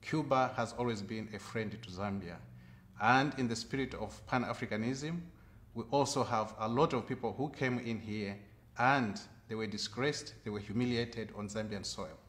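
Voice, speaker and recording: A man speaking for a political party, giving a measured, firm press statement. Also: on the low side, fairly bright tone.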